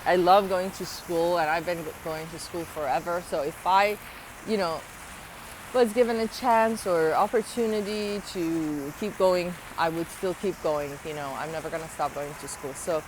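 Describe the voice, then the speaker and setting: A woman's voice: on the low side, fairly even intonation, normal speed, noisy background. A woman with a slight foreign accent, talking to her viewers in a casual, earnest opinion vlog with many ums and rising, emphatic questions. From abroad, moved to the United States at twelve. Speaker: vlogger.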